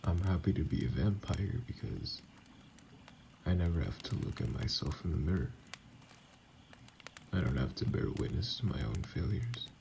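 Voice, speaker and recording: A man playing a wounded, bitter, self-loathing vampire in an ASMR audio drama, brooding and halting, with a gravelly, breathy voice that often drops near a whisper. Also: sits low, expressive, dark tone, slight background noise. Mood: sorrowful, self-loathing.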